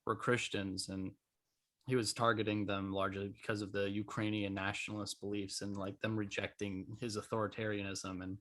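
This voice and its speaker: A man's voice: lowish voice, level tone, a little fast, a bit bright. A man co-hosting a podcast, talking casually in a discussion. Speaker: podcast co-host.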